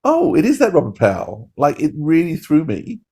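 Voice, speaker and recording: A man's voice: fairly high pitch, wildly swooping pitch, normal speed, warm tone, breathy edge. A man co-hosting a podcast, giving a casual review in an enthusiastic, rambling way. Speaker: podcast co-host.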